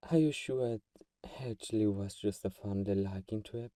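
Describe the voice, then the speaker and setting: A man playing a doting but secretly manipulative vampire friend in an ASMR roleplay, soft-spoken and fussing, with a mellow, dark tone. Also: on the low side, moderate pace, no echo, clean studio sound, distant mic, close to an everyday voice. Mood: fussing, concerned.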